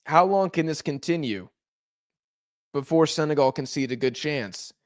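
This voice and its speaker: A hoarse man calls a live football match as a watch-along host. He talks in a fairly high voice, close and dry on the mic, and bursts into shouts at every near miss. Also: moderate pace, no room sound. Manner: excitable, shouts at big chances. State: hoarse, losing voice.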